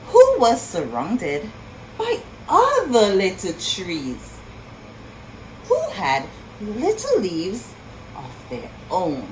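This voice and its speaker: A woman reading a story aloud for young children in an expressive, sing-song way, pausing to ask her listeners questions. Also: medium pitch, slight background noise, low-quality recording. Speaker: children's storytime reader and teacher.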